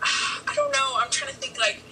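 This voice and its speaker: Woman asking interview questions, bright voice, kinda far from the mic, lots of like. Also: high-pitched, huge pitch swings, moderate pace, a little hiss or hum. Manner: rambling, lots of likes.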